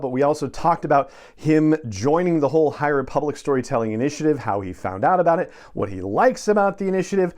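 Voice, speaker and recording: A man's voice: medium pitch, darkish tone. A middle-aged man speaking as a podcast host, introducing and interviewing a guest in a friendly way.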